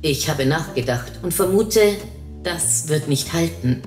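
A woman's voice: low-pitched, a little slow. A woman voicing a dry, skeptical newlywed who bickers sarcastically in an audio short story. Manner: deadpan, sarcastic. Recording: roomy echo, faint music.

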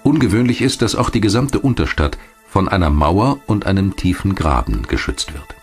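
Deep-voiced male documentary narrator. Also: animated, faint music behind. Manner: measured, factual delivery.

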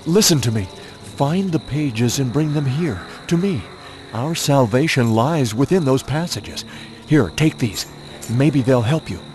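A man's voice: mid-range voice, animated, measured pace, a little mellow, a little hiss or hum, close to an everyday voice. An actor playing a grave, anxious holy man in a video game, speaking in a solemn and melodramatic way. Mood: urgent.

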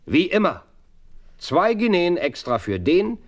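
An actor playing a brilliant, self-assured detective in a German audio drama, theatrical, commanding and slightly teasing. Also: male voice, high-pitched, sing-song, slow, full character voice. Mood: brisk, commanding.

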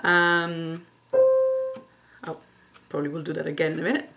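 A woman's voice: low voice, level tone, moderate pace, creaky, loud music behind the voice. A middle-aged woman, a native Italian speaker, thinking aloud informally and self-critically as she practises and teaches singing at home. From Italy. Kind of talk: thinking aloud while demonstrating vocal study. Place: living room at home.

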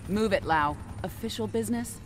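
A woman's voice: mid-range voice, expressive, moderate pace, over music, lightly acted. An actress playing a tough, brusque female military officer in a video game. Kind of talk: video game fight intro dialogue.

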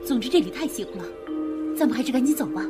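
High, animated female grave robber played by an actress. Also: racing, loud music behind the voice, lightly acted. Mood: uneasy. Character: superstitious, jumpy, outspoken female grave robber in wartime. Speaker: voice actor in an audio drama. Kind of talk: Chinese audio drama acting.

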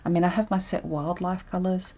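A woman's voice: on the low side, flat delivery, fairly quick, dark tone, slight background noise. A woman teaching a live drawing session from her desk at home, talking casually and rambling with lots of ums. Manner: chatty, rambling, self-interrupting with ums. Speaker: art instructor. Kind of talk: casual live draw-along chat.

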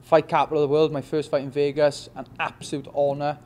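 A young man with a Welsh accent in a post-fight interview, talking quickly with many restarts and "you know what I mean". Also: fairly high pitch, little pitch movement, darkish tone, a little hiss or hum. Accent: Welsh, Swansea. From Wales. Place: press backdrop indoors. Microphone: reporters' handheld mics in front.

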